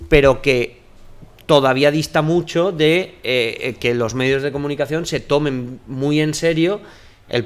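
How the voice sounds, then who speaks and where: A man's voice: mid-range voice, smooth. Earnest man on a panel. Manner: earnest, many restarts and repeated words. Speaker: journalist panelist. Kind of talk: panel discussion. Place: lecture hall.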